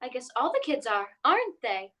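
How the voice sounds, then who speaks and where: High, lively housewife played by a woman. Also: normal speed, exaggerated voice. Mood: indulgent. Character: polite, indulgent housewife and mother. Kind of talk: radio play acting.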